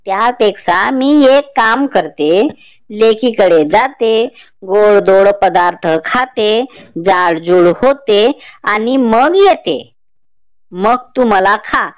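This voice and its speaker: A woman voicing a shrewd, affectionate old village grandmother in a children's cartoon story, with drawn-out, coaxing phrasing. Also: on the high side, dry recording, voice pushed up.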